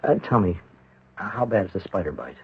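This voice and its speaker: Gentle shrink in old radio play, really raspy low voice, hesitant, muffled. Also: male voice, lively intonation, a little fast, exaggerated voice. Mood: musing. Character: measured, thoughtful, kindly male hospital psychiatrist. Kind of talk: 1970s radio drama acting. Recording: dull old radio broadcast.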